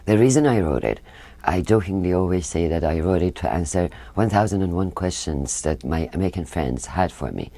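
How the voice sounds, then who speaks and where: A man's voice: sits low, fairly quick, dry recording. An older man from Iran with a Persian accent, a native Farsi speaker, talking about his book in an interview, with frequent hesitations. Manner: hesitant, with many uh fillers, lively intonation. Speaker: author.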